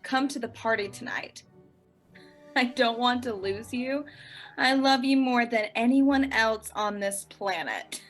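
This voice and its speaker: A woman's voice: on the high side, measured pace, faint music behind, natural voice. A woman playing an earnest young woman's message in a scripted dramatic reading.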